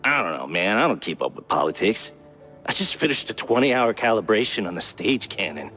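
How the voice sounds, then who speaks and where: Game supporting role: easygoing stagehand mouse, lowish, animated, hesitant and slangy, music underneath. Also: male voice, a little fast. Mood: casual. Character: laid-back cartoon mouse stage designer, casual working guy. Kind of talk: cartoon noir game voice acting.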